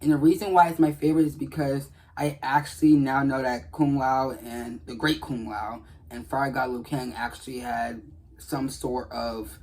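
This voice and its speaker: Casting: male gaming commentator with a mid-range, husky voice, sincere and rambling, casually talking through his thoughts and repeating himself. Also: medium pitch, a little mellow, poor audio. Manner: earnest, repetitive, rambling. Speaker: gaming video creator. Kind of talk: casual commentary on game dialogue.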